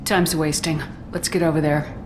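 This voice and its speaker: An actress playing a tough, terse military commander in a video game, matter-of-fact and dry. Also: female voice, deep voice, flat delivery, normal speed, noisy background, close to an everyday voice.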